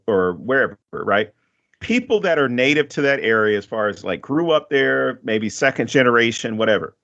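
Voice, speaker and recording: A man's voice: medium pitch, fairly bright tone, muffled recording. A man hosting a podcast, chatting casually about a film in a rambling way and laughing often. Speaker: podcast host.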